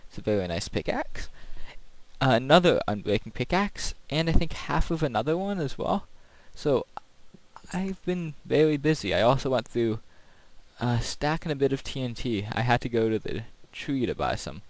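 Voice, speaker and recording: A man hosts a gaming video with casual, unscripted commentary. His voice sits at an average male pitch. He rambles along as he plays, explaining things in plain everyday talk. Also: very animated, moderate pace, no room sound, poor audio.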